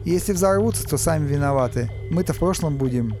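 A man's voice: a little high, lively intonation, over music. A man voicing a touchy, indignant human schoolboy in an audiobook drama. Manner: indignant, quick to take offense.

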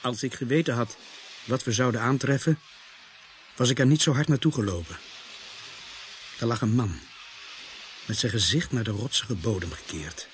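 A man's voice: medium pitch, normal speed, pauses often, natural voice. An actor playing an earnest, reserved rookie policeman in a radio drama, speaking. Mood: sober, grave. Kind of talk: radio drama acting and first-person narration. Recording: faint background noise.

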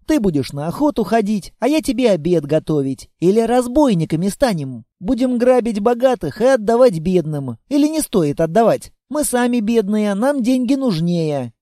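A man voicing a chatty little girl, a child of darkness, who pleads and chatters without pause. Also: high voice, warm tone, no echo, studio quality.

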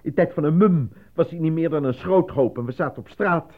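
A man acting as a worn-down, pleading out-of-work actor in a radio drama, his voice husky and hesitant. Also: a little high, brisk, close to an everyday voice. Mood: desperate. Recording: thin phone-like old radio recording.

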